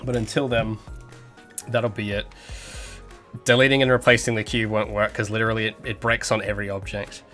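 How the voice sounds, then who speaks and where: Bright-voiced man rambling on a stream. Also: fairly low pitch, flat delivery, brisk, pauses often, faint music behind. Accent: Australian with some American sounds. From Australia. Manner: rambling, many fillers and restarts. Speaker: indie game developer streaming. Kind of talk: casual livestream chat.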